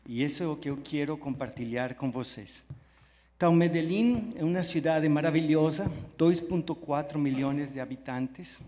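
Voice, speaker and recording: A man from Belgium, a native French speaker, gives a conference talk in Portuguese full of Spanish words. His voice sits at a middle pitch, warm and eager. It rings with the long reverb of a big hall. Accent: French and Spanish, speaking Portuguese mixed with Spanish. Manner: friendly, enthusiastic, mixing Spanish words into Portuguese. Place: large hall at a live event. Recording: echoey hall sound.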